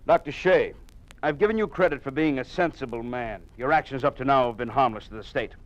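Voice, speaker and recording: A man playing a stern, formally polite but menacing state official who interrogates in measured tones, in an old-time radio drama. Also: mid-range voice, dull audio, close to an everyday voice. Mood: stern, dismissive. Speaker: actor.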